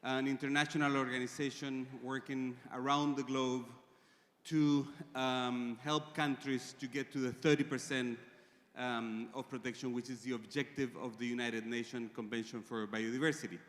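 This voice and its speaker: A man from Chile with a Chilean Spanish accent, speaking English as a second language and giving a conference presentation with measured pauses and fillers. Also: mid-range voice, flat delivery, fairly bright tone. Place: large conference hall. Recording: reverberant conference hall.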